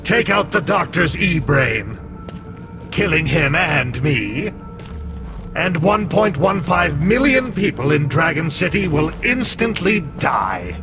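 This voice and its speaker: A smug artificial intelligence from a video game. The voice is fairly high and swings widely in pitch, with slow, theatrical delivery. It taunts like a manipulator who looks down on humans, over prominent music. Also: male voice, very animated, heavily acted. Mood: cold threat. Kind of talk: video game cutscene acting.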